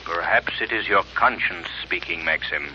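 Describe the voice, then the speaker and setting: Casting: commanding, stern invisible crime-fighter, razor-sharp and emphatic, on a scratchy vintage radio drama recording. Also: male voice, sits low, normal speed, lo-fi sound. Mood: stern, accusing. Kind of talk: 1930s radio drama acting.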